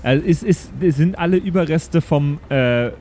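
A man with a slightly high voice talks as a player in a tabletop game. His speech is casual and halting with repeated starts, and there is faint noise behind him. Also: a little mellow. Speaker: tabletop role-play player. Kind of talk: casual improvised game talk.

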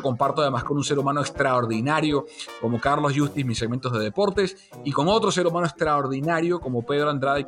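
A Venezuelan sports journalist hosting a podcast in a casual, joking way, full of fillers. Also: male voice, medium pitch, fairly bright tone. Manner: jokey, chatty, many fillers. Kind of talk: casual chat.

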